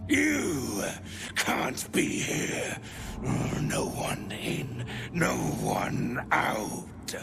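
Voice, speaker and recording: An actor playing a menacing, beast-like servant guarding his master in a video game, in a breathy, growling whisper. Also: medium pitch, wildly swooping pitch, drawn-out words, noisy background, exaggerated voice. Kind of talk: cinematic video game voice acting.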